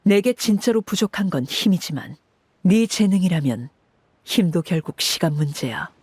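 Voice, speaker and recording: An actress playing a stern woman leader, speaking in a measured, formal, authoritative way in a dramatic game scene. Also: on the low side, expressive, a little mellow, breathy edge, dry recording. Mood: stern, resolute. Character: stern, commanding woman leader of an orphanage, called Father. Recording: music under the voice.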